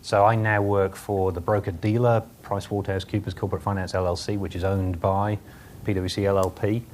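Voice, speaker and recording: An English man introducing himself as a panelist, measured and matter-of-fact, with filler pauses. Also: deep voice, level tone, darkish tone, slight background noise. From England. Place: conference hall.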